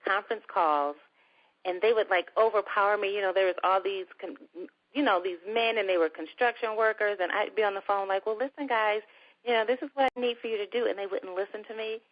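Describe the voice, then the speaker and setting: A woman with a soft voice, chatting as a guest in a casual conversation. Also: medium pitch, fairly quick. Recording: dull lo-fi recording.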